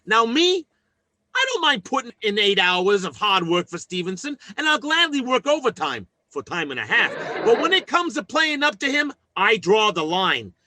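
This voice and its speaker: An actor playing a scheming, self-important working-class husband in an old-time radio sitcom, with blustery, folksy speech. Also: male voice, high voice, sing-song, normal speed, pushed and bright, some chatter behind, heavily acted.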